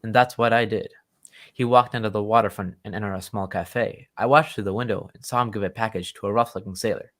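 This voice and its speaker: A man playing a polite, earnest, loyal gentleman friend of a detective in an old-time radio drama, speaking with formal courtesy. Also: lowish voice, fairly even intonation, quick pace, close to an everyday voice.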